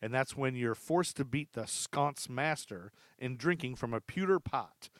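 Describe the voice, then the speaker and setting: A man with a mid-pitched voice hosts a podcast in a casual, comic storytelling style. He is chatty and amused and likes to repeat a fact for emphasis. The sound is dry and close. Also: lively intonation, a little slow, no room sound. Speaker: podcast host.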